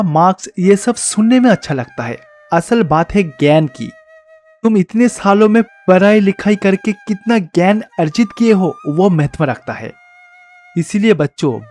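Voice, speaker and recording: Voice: medium pitch, darkish tone, lightly acted. Kindly male teacher-god played by an actor, storybook tale, patient mentor delivery, music bed. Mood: encouraging. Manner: patient, advising, encouraging.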